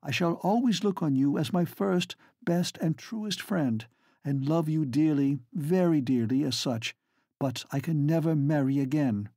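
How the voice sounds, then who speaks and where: An earnest, proud yet tender lady speaks in a lifted voice, as a male narrator puts her on. She pleads with warmth and feeling, the tone kept mellow and a little husky. The sound is close and dry. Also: fairly high pitch, a little slow, no room sound.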